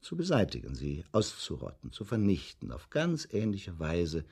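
A methodical police inspector in a radio play. His voice is low and husky, with strong rises and drops in pitch. He questions deliberately and politely, but with pointed insistence. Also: huge pitch swings, a little mellow, heavily acted. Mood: grim, emphatic. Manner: deliberate, insistent, theatrically emphatic. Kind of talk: radio drama acting.

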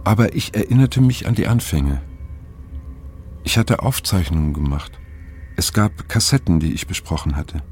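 An actor playing an older, reflective man who narrates his memories in a radio drama, in measured, pensive, fragmentary phrases with a husky, gravelly voice. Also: low voice, animated, darkish tone, soft background music. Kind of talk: scripted radio drama narration, introspective monologue.

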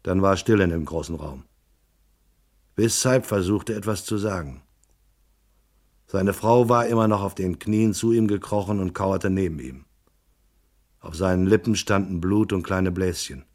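A tough male private eye telling his own story in a radio crime play. His voice is fairly low. The delivery is terse, laconic and matter-of-fact, in classic hard-boiled style. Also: measured pace, long pauses, darkish tone. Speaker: first-person narrator.

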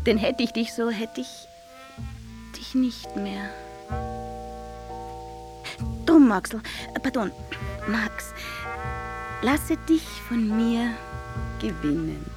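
Coquettish, capricious old-Vienna sweetheart played by an actress, theatrical delivery, slightly high pitch, unhurried, over music. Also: female voice. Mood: yearning, teasing. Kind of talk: dramatic literary recitation over music.

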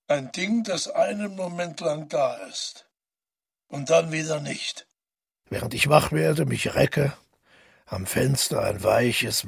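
An actor playing a weary, solitary murderer who calmly recalls his deed in a reflective radio drama monologue. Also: medium pitch, no echo, studio quality, exaggerated voice. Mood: wistful, subdued. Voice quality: husky, gravelly.

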